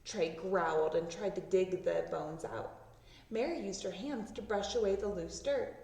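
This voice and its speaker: A woman reading a children's story aloud, with expressive narration that acts out the words. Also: fairly low pitch, unhurried, creaky. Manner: expressive, acting out sound words. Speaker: storybook reader. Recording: roomy echo, distant microphone.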